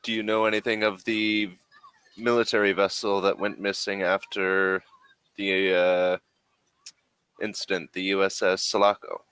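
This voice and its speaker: A man playing a secretive, persuasive corporate agent in a tabletop game, speaking in a deliberate, halting, emphatic way. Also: low-pitched, little pitch movement, a bit bright, clear, resonant tone, dry recording. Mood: probing. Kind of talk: in-character tabletop role-play.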